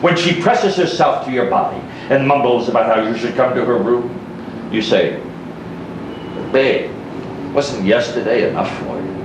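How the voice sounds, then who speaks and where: A man's voice: medium pitch, normal speed, lightly acted. An actor playing a sarcastic, menacing married middle-class man in a stage play, needling in a theatrical manner. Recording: echoey, distant, noisy live stage recording.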